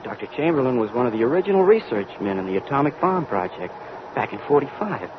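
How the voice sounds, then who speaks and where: A man's voice: mid-range voice, very animated, fast, lightly acted. A man playing an eager, idealistic young crewman in an old radio drama, polite, earnest and deferential. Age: young adult. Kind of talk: 1940s radio drama acting. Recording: muffled, noisy old radio recording.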